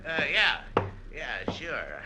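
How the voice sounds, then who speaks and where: An actor playing a scruffy, simple beachcomber who stammers and rambles in rough grammar, in 1940s radio drama style. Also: male voice, medium pitch, wildly swooping pitch, drawn-out words, full character voice. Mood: nervous, bewildered. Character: snaggle-toothed scruffy beachcomber, jittery and simple, male. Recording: old radio broadcast with background noise.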